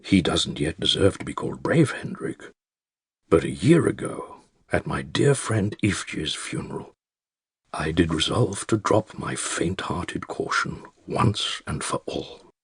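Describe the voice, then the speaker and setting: An actor playing an elderly male care-home resident with a British accent, narrating his secret diary in a dry, wry, measured and articulate way with a gravelly, husky voice. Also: low voice, big pitch swings, no room sound, exaggerated voice. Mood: quietly determined. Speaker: audiobook narrator. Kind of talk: scripted first-person diary narration.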